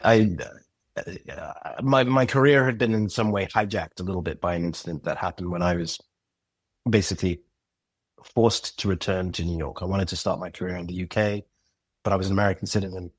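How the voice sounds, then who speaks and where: A middle-aged man speaking as a podcast guest, talking reflectively with pauses and restarted phrases. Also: low voice, steady tone, no room sound. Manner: thoughtful, pausing, restarts phrases. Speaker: podcast guest, writer and director. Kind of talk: reflective interview talk.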